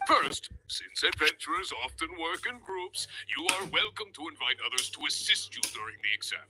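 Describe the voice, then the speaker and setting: An actor playing a blustery, enthusiastic adventurers' guild examiner in a video game, with a theatrical, emphatic delivery. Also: male voice, on the high side, very animated, normal speed, background noise. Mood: explaining. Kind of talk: English video game voice acting.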